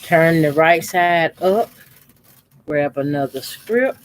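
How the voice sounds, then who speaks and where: A man in his fifties, a quilting channel host, calmly talking through a how-to demonstration at his sewing machine with his voice deliberately kept low. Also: a little hiss or hum. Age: 50s. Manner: talks unhurriedly while sewing, deliberately keeping his voice lowered.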